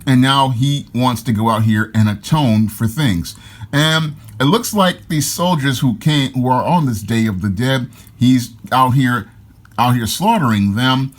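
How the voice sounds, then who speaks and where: A man's voice: mid-range voice, measured pace. A man giving an enthusiastic, casual comic book review, often repeating himself as he talks. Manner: enthusiastic, repeats "again" and "your".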